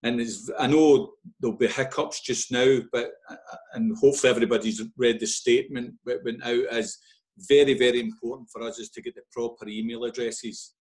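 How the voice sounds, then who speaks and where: A man's voice: medium pitch, steady tone, fairly quick, a little mellow. A Scottish man, a club official, giving fans an informal and enthusiastic update over a video call, often repeating himself.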